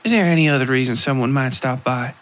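A man's voice: mid-range voice, fast talker, a bit bright, close to an everyday voice. A man voicing a blunt, tired, impatient woman in a horror audio story, curt and exasperated. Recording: clear music behind the voice.

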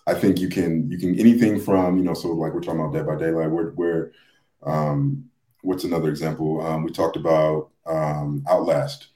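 Male podcaster, low register, searches for words, roomy sound. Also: level tone, normal speed, warm tone. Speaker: podcast speaker. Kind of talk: casual podcast discussion.

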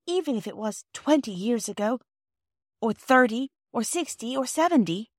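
A chatty little storybook girl acted out by a woman. She is high in pitch, curious and eager to explain, in a dry close recording. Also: expressive, fairly quick, dry recording. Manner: inquisitive, breathlessly explanatory.

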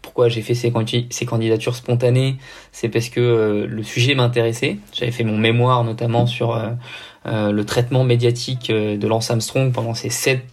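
A man's voice: medium pitch, level tone, moderate pace. A young man from the south of France with a neutral French accent, talking casually and explaining things in a hesitant way as a podcast guest. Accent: neutral French, no regional accent. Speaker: podcast guest, amateur triathlete. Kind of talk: casual interview conversation.

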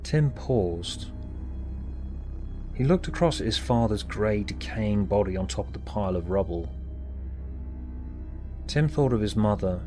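A man reading scripted story narration in a measured, deliberate way. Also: fairly low pitch, lively intonation, music in the background. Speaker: author and narrator.

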